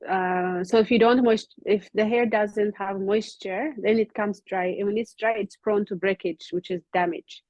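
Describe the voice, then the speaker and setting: A woman from Ethiopia, a company founder, explaining hair care in an earnest way, often pausing with ums, over a video call. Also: medium pitch, fairly even intonation, a little mellow, no echo. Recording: dull, slightly lo-fi call audio.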